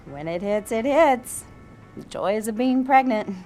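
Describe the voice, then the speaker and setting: A woman's voice: a little high, animated, measured pace, darkish tone, faint music behind. An actress playing a sweetly motherly pregnant wife, coaxing and full of endearments, in a naturalistic audio drama. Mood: coaxing, reassuring. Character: sweetly motherly pregnant wife, solicitous toward a teenage girl.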